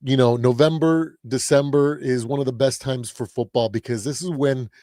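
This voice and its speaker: A man hosting a casual sports talk livestream, his voice a little raspy while he gets over a cold, rambling with lots of restarts and fillers. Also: medium pitch, fairly even intonation, normal speed, mellow, no room sound, studio quality. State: recovering from a cold. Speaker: host.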